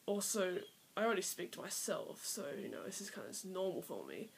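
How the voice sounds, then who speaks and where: A woman's voice: lowish voice, sing-song, fairly quick, vocal fry, a little hiss or hum, low-quality recording. A nineteen-year-old Australian woman talking nervously to her camera in a first personal vlog, rambling with many fillers and sometimes trailing into a near-whisper. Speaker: vlogger. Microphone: iPad built-in mic.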